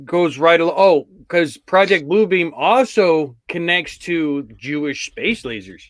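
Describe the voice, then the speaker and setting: A man's voice: a little high, lively intonation, slow, dry recording. A man chatting casually as a panelist. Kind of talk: casual chat.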